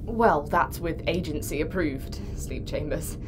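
A woman's voice: low voice, slow. An actress playing a reserved, guarded female psychologist in a naturalistic space audio drama. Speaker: crew psychologist. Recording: clear ambient noise behind.

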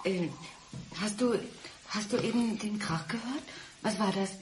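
An actress playing a frightened, pleading ex-wife in a radio crime play, speaking in an anxious, halting way. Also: female voice, mid-range voice, slight background noise, lightly acted. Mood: uneasy. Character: frightened, pleading ex-wife held by a dangerous man. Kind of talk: 1990s German radio crime play acting.

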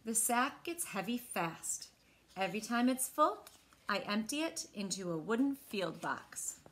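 A woman in her thirties with an American accent, reading a picture book aloud to children with expressive, clearly phrased delivery. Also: mid-range voice, measured pace. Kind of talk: children's read-aloud storytelling. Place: small indoor room.

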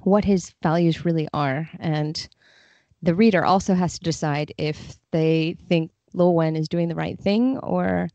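Woman, lowish voice, reflective interview answers, dry slightly dull recording. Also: fairly even intonation, measured pace, mellow, no echo. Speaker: podcast guest, literary translator.

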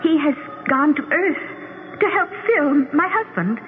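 An actress playing a devoted, pleading wife in the afterlife, in an emotional, earnest 1970s radio drama style. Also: female voice, sits high, lively intonation, slow pace. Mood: wistful. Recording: muffled, hissy old radio broadcast.

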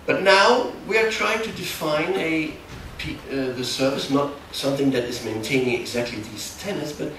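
A middle-aged man with a German accent giving a technical workshop talk in a large hall, explaining in a halting, self-correcting way. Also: a little high, fairly bright tone. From Germany, near the Dutch border. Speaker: conference speaker. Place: large darkened conference hall. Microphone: far camera. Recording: echoey hall, distant mic, background noise.

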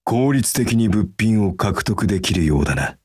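A man voicing a calm, earnest, kindly masked hero in a mobile game, speaking in a measured, reserved, formal way. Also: fairly low pitch, husky, no room sound, clean studio sound. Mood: musing. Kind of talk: anime game character voice acting.

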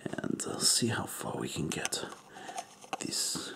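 A man voicing an eccentric, crude foreign male doctor in a deadpan whisper. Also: close to an everyday voice. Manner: whispery, slow, deadpan joking. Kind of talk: comedic ASMR roleplay.

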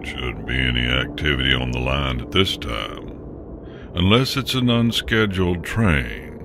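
Audio-story pony switchman, played by a man: puzzled, muttering to himself, creaky rock-bottom voice with lively swings. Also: very deep voice, a little slow, exaggerated voice. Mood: puzzled, musing. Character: puzzled young male pony switch keeper talking to himself. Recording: noisy recording.

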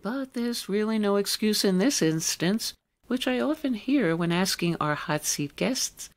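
A woman's voice: medium pitch, lively intonation, unhurried. Woman hosting an arts radio show. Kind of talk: scripted introduction and opinionated commentary.

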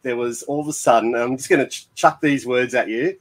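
A man's voice: mid-range voice, a little fast. A man co-hosting a video-call interview, asking questions playfully and laughing between them. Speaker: co-host. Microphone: video call webcam.

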